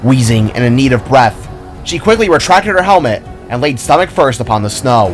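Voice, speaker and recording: Male author-narrator, mid-pitched, dramatic scripted storytelling, clear music bed underneath. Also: sing-song, normal speed.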